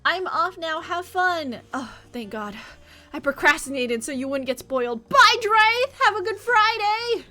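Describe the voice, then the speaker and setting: A woman with a high voice chats informally on a game livestream. She rambles along expressively, speaking straight to her viewers. Faint music plays behind her. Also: lively intonation, measured pace, pushed and bright. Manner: chatty, rambling, talking to viewers. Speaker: live game streamer. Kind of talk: casual livestream chat. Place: indoor home office.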